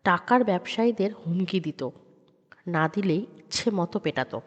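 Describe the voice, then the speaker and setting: Female horror narrator, suspenseful and measured, dull recording with heavy reverb. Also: fairly low pitch. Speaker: audio story narrator. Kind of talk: dramatic scripted horror narration. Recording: dull sound with long reverb.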